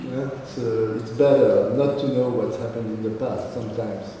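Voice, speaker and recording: A man whose first language is French, speaking haltingly with many restarts as a panelist at a seminar. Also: mid-range voice, fairly even intonation, warm tone, far from the mic, slight background noise. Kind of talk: discussion answer. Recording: echoey hall, recorded from afar.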